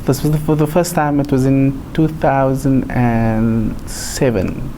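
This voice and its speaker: A soft-spoken young man answers questions about his singing career. He hesitates, often giggling shyly. His pitch is ordinary, and a light hiss sits in the room. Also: steady tone, measured pace. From Cape Town, South Africa. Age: 20s. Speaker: singer, guest. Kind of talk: casual interview answers. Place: small indoor studio with photo wall.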